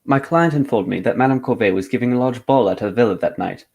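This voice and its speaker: A man plays a brisk English detective in a radio drama. He talks very quickly in a middling pitch, formal and clipped, dryly courteous and insistent. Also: vocal fry, darkish tone, husky. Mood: businesslike.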